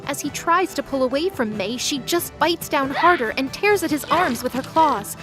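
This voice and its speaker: A woman's voice: high voice, lively intonation, moderate pace, music in the background. A woman reading dramatic scripted narration for a fantasy audio drama.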